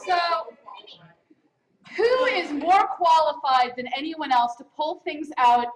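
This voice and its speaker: Playful high-voiced woman presenting on stage. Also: expressive, slow. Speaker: awards show co-presenter. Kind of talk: live awards presenting. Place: live event stage before an audience. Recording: noisy live event stage microphone.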